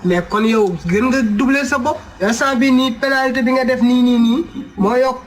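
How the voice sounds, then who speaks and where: A man's voice: very high-pitched, normal speed, faint music behind, rough recording. A man with a Senegalese accent voicing a bossy, exasperated police commander who questions and scolds in a comic cartoon. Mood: scolding. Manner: interrogating, scolding.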